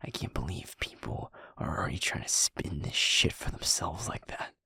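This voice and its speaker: Guy acting as a sweet werewolf boyfriend in audio rp, low gravelly voice, kinda halting n self-conscious. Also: no echo, in a whisper, clean studio sound, exaggerated voice. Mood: disbelieving, bitter. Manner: halting, hushed, stammering, sighing. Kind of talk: intimate audio roleplay acting.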